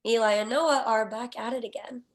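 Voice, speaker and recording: Female stage-directions reader, higher pitched, scripted screenplay narration. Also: steady tone, a little fast.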